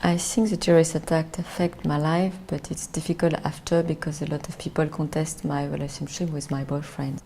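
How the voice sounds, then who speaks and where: A middle-aged Frenchwoman speaks halting, careful English with a strong French accent, pausing to search for words. Her voice sits low and mellow with a gravelly edge. A faint hiss of background noise sits under her. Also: level tone. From France. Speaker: documentary interviewee. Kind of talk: reflective personal interview.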